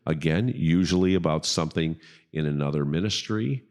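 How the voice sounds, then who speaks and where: A man's voice: extremely low pitch, normal speed, darkish tone. A middle-aged man with a big voice, a pastor hosting a casual podcast chat and talking emphatically, often checking in with "okay?".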